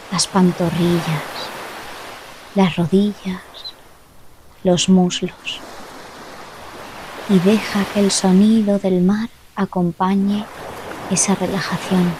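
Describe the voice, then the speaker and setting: A woman guides a relaxation meditation in a gentle, soothing voice, set a little low. She speaks very slowly in short phrases, leaving long pauses. Clearly audible sea waves wash behind her throughout. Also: a little hiss or hum. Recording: loud ocean waves behind the voice. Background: sound of sea waves.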